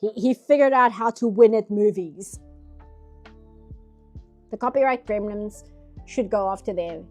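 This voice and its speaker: A woman's voice: medium pitch, a little slow, long pauses, faint music behind. A nasal-sounding woman streaming herself painting, chatting casually in rambling asides. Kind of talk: casual chat while drawing.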